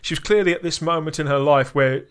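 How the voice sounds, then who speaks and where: Enthusiastic guy hosting a podcast, kinda high-ish voice, lots of "don't they". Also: fast talker, fairly bright tone, smooth. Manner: enthusiastic, drawing in co-hosts with tag questions. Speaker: podcast host. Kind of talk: casual review discussion.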